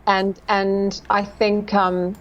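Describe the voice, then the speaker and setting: A woman, a dialect coach talking through her work in a relaxed interview, thoughtful and animated with dry self-deprecating humour. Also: mid-range voice, extremely slow, dull audio. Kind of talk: casual interview chat.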